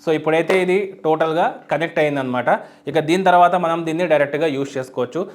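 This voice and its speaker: A man's voice: fairly high pitch, fast talker. A man explaining a product step by step as a tech reviewer, in an unboxing video style. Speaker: YouTube tech reviewer and host.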